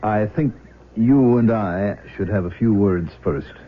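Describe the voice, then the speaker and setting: Low-voiced, measured American foster father. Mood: polite, guarded. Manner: measured, courteous, firm. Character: calm, protective middle-aged American foster father. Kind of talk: 1970s radio drama acting. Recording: thin, phone-like, noisy vintage radio recording.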